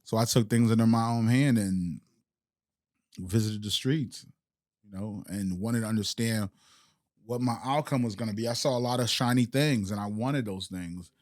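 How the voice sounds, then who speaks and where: A man's voice: fairly low pitch, moderate pace, darkish tone, dry recording, clean studio sound. A man born in Haiti and raised in the United States, a podcast guest and entrepreneur, talking reflectively in street slang with many restarts. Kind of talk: casual interview chat.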